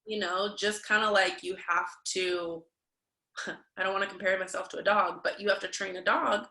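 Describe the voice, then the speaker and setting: A woman's voice: medium pitch, fairly even intonation, moderate pace, pushed and bright, echoey room. A young woman, a dancer and fitness instructor, talking openly and reflectively as an interview guest, with lots of fillers. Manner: open, self-reflective, talks with many fillers. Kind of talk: candid conversational interview.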